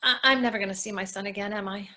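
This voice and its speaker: A woman's voice: mid-range voice, fairly even intonation, racing, pushed and bright, close to an everyday voice. A woman acting an anxious, clingy mother-in-law in a readers theatre play, stammering and pleading as she speaks.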